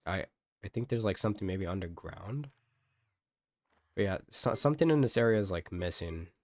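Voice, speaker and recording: A man's voice: low voice, animated, normal speed, darkish tone, no room sound. A man streaming a game, chatting casually and thinking aloud in a rambling way. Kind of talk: casual live gameplay commentary. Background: game music.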